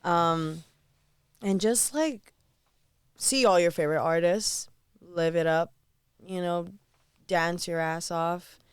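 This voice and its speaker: A young woman talks casually and excitedly as a podcast guest. Her voice sits a little low and sounds very clear and smooth. The room is dry, with almost no echo. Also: slow, pauses often. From Southern California. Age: 20s. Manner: bubbly, animated, laughs often.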